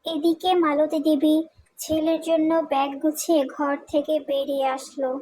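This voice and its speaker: A woman's voice: high voice, level tone, moderate pace, mellow, a little hiss or hum. A woman narrating a cartoon folk tale in a scripted storytelling style.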